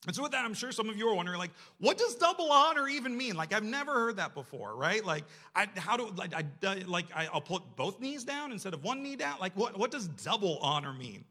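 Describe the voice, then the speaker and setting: A man's voice: high voice, very animated, a little fast, few pauses, pushed and bright. A man preaching a sermon to a congregation in a conversational, self-deprecating way, often posing rhetorical questions. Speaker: church staff member preaching.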